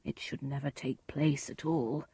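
A woman voicing a calm, kindly male friar in a storybook, measured, grave and thoughtful. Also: sits low, breathy edge, no echo, full character voice. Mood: concerned.

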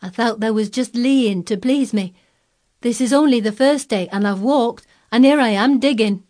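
Imperious young boy for a children's story, played by a woman: high, eager, commanding, very sure of himself. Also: normal speed, darkish tone, lightly acted. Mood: exultant. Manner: commanding, lordly, emphatic.

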